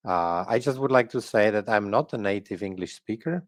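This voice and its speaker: Czech-accented man, middle-aged, low voice, dry close sound, careful lecturing with fillers. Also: normal speed, dry recording. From Czech Republic. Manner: careful, hesitant, frequent fillers and restarts. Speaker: guest lecturer. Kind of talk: academic presentation.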